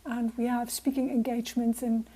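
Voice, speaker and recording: A woman in her sixties from Germany, with a German accent, speaking gently and reflectively as a guest speaker to a club. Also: on the high side, little pitch movement, moderate pace, low-quality recording. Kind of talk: personal talk to a club.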